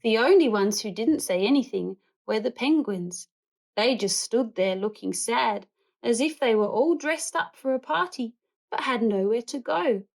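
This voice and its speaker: A woman reading a picture book aloud to children in an expressive, animated storytelling way. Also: a little high, unhurried, vocal fry. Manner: animated, acts out characters and animal noises.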